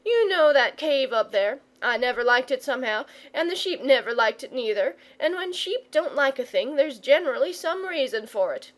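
A woman's voice: sits high, animated, normal speed, few pauses. A rustic shepherd of a storybook, acted out by a woman. He is an older family man, nervous and easily flustered. He talks in rambling, folksy country dialect. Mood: uneasy. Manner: folksy dialect speech, plain-spoken.

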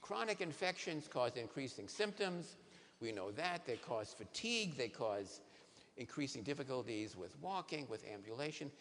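A man in his sixties, a neurologist, giving a lively science lecture to patients on a conference stage, asking the audience questions. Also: medium pitch, moderate pace. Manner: animated, asks the audience questions. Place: large conference hall stage. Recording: reverberant hall, faint noise.

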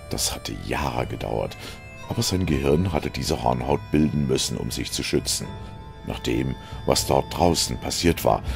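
A man's voice: very deep voice, creaky. A man narrating a scripted audio story in a dramatic reading style.

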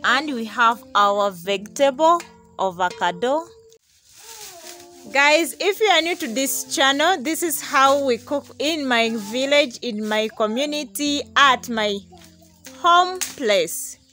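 A woman's voice: high voice, animated, takes it slowly, pushed and bright, faint music behind. A young Ugandan woman, Luganda her first language, vlogging outdoors in a village yard to a camera a few meters away, greeting viewers and calling them "guys". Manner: repeats "guys" and thanks viewers often. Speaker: vlogger host. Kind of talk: casual vlog to camera. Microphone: camera on a tripod, a few meters away.

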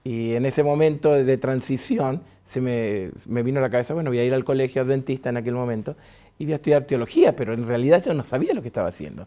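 A middle-aged man speaks Spanish with an Argentine accent, joking and laughing as he tells his story. His voice sits at an ordinary male pitch. He sounds clean and close through a lapel mic on a TV studio set. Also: lively intonation, fast talker, warm tone. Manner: jovial, laughs often, chatty with restarts. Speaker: pastor and guest. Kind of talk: relaxed interview storytelling.

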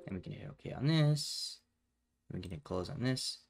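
A man with a fairly low voice narrates a software tutorial step by step. He explains each action casually and plainly, as someone talking while working at a computer. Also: animated, moderate pace, creaky, loud music behind the voice. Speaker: tutorial host. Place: indoors at a computer, voice over screen capture.

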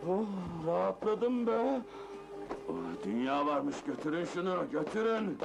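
An actor playing a hot-tempered, self-pitying nightclub boss, ranting and groaning melodramatically in a comedy film. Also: male voice, high voice, a little fast, gravelly, highs cut off, music in the background. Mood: relieved, self-justifying. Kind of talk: Turkish comedy film acting.

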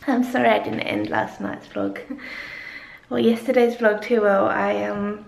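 A young woman vlogging casually at home, tired and croaky-voiced, rambling and laughing often as she chats to her camera. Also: mid-range voice, very animated, measured pace. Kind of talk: casual daily vlog. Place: home kitchen. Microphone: handheld camera at arm's length. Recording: echoey kitchen with faint ambience.

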